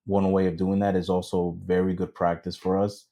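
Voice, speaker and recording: A man's voice: low-pitched, little pitch movement, moderate pace, warm tone. A man co-hosting a casual podcast, talking in a hesitant way with many ums and slipping into Spanish now and then. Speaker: podcast co-host. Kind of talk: casual chat.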